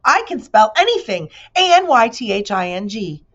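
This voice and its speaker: A woman's voice: on the high side, big pitch swings, moderate pace, a bit bright, dull audio, voice pushed up. A woman voicing an enormous, boastful male bee in a children's storybook, fussy and fond of spelling words out letter by letter. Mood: boastful, eager.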